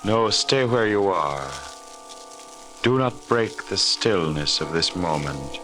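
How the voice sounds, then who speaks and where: An actor playing a solemn, eerie male host, narrating a 1940s radio mystery with dramatic, deliberate phrasing. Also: deep voice, lively intonation. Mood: ominous, solemn. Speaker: announcer and narrator. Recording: old radio recording. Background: music.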